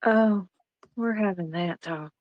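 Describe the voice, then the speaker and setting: A woman's voice: mid-range voice, slow, no echo, natural voice. A woman playing a flirty, evasive nurse, coy and teasing, in a table read with muffled audio.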